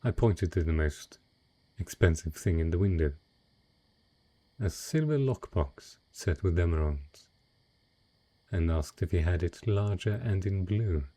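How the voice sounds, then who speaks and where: A man playing a wealthy, cunning dragon in humanoid disguise, speaking in a refined, eloquent and husky way in a romantic fantasy audio roleplay. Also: deep voice, lively intonation, dark tone. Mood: wistful, suave. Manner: refined, eloquent, unhurried storytelling.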